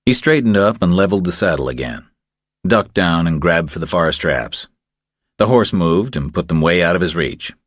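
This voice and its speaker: Guy narrating a thriller audiobook, really deep voice, lively intonation, super dry studio-like sound. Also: normal speed, no echo.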